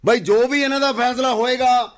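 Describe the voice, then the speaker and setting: A man's voice: very high voice, fairly even intonation, normal speed. A man playing an authoritative village headman in a radio play, a folksy, commanding peacemaker with a Punjabi accent. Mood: mediating.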